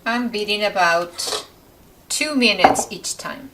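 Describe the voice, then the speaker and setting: A woman's voice: mid-range voice, lively intonation, slow, distant mic, background noise. A woman from Mexico with a Mexican accent, a native Spanish speaker, hosting an informal home recipe demonstration. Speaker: home cooking video host. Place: kitchen.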